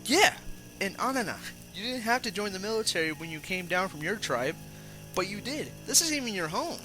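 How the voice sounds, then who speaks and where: A man's voice: high voice, big pitch swings, brisk, over music, rough recording. A man playing an idealistic young soldier in a fan audio drama, sincere and eager in his delivery.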